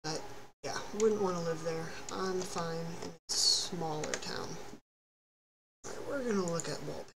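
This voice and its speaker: A high-voiced young man in his late teens from Texas, chatting casually and rambling self-deprecatingly while streaming a game on a headset. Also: a little slow, gravelly. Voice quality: high, youthful-sounding voice. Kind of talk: casual live-stream chat while playing. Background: ambient noise, thunder outside.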